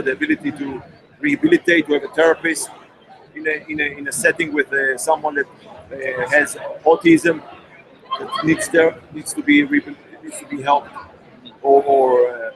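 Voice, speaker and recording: A man speaking at an outdoor event interview. He talks quickly and stumbles over repeated words. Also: fairly high pitch, creaky, low-quality recording. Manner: fast, with many restarts and repeated words. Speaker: company representative. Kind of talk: on-the-spot interview.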